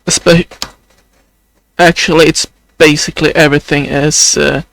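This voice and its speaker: A man's voice: a little high, little pitch movement, gravelly, fairly bright tone, no room sound. A young man with a Swedish accent talking unscripted in a video diary, hesitant and full of fillers, a voice people say sounds close to crying. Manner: hesitant, many fillers, slow and halting. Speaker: video journal creator.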